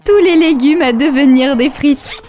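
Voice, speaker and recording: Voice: sits high, measured pace, a little mellow. An actor voicing a boastful, eager young schemer in a rhyming children's cartoon, slangy and exclamatory. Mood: delighted. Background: children's music.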